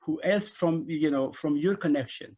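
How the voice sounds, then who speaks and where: A man's voice: fairly high pitch, moderate pace, a little mellow. Middle-aged man presenting, repeating for emphasis. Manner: repeats words and phrases for emphasis. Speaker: invited speaker, refugee organization director. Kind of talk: conference presentation over video call. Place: small indoor room, remote video call.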